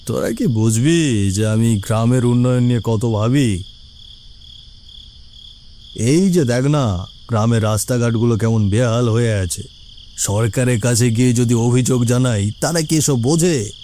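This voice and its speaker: A man acts out a village headman in a cartoon, stern and self-righteous. His mid-pitched voice is mellow yet commanding, with drawn-out hums and a condescending edge. Faint noise and crickets sit behind him. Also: natural voice. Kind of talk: cartoon voice acting.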